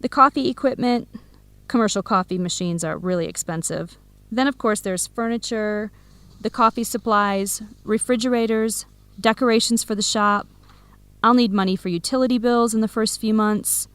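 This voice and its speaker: An actress playing an outspoken, protective young best friend in an educational radio drama, speaking frankly and emphatically. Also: female voice, a little high, normal speed, a bit bright, smooth, no echo, lightly acted. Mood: upbeat, earnest. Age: young adult.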